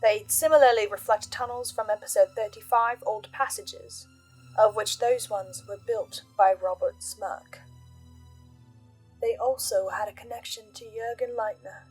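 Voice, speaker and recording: A woman hosting a scripted horror analysis, speaking as an investigator in a measured, deliberate way with dramatic pauses. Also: fairly high pitch, steady tone, a little mellow, slightly rough, low-quality recording. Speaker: host of a fan theory channel. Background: music.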